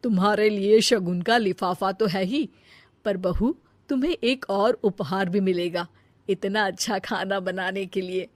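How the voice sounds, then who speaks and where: A woman voicing a hearty visiting aunt in a cartoon story, loud and effusive with her praise. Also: mid-range voice, measured pace, dry recording, lightly acted. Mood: generous. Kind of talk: cartoon voice acting.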